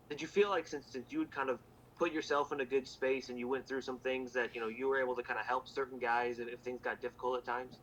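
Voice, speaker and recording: A man's voice: mid-range voice, fairly bright tone, a little hiss or hum, low-quality recording. A male sports reporter asking long, looping questions at a press conference.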